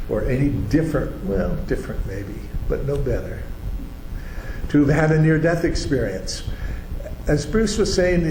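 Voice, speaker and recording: Male host of a fellowship meeting: earnest, deliberate introduction, in an echoing, noisy room. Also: a little high. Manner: earnest, repetitive, slow and deliberate. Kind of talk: spoken introduction to a congregation. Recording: echoey room with background noise.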